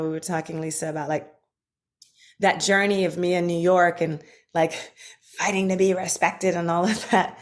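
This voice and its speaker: A woman guest on a podcast from Washington, D.C., telling childhood stories in a relaxed, animated way with lots of fillers. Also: fairly low pitch. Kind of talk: casual conversation.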